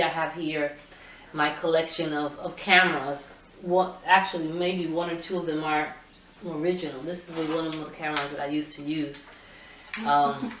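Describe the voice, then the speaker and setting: A middle-aged woman from Puerto Rico, a photographer answering interview questions in a thoughtful, halting way with many restarts. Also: deep voice, a bit bright, a little hiss or hum. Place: indoor room with her camera collection. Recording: roomy sound, mic at a distance.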